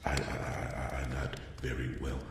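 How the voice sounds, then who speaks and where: A man's voice: a bass voice, monotone, normal speed, vocal fry. A man playing a lonely, awkward dwarf blacksmith in a fantasy ASMR roleplay, rambling and stammering earnestly as he tries to make a friend. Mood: hopeful, awkward. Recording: echoey, distant, noisy with clicks.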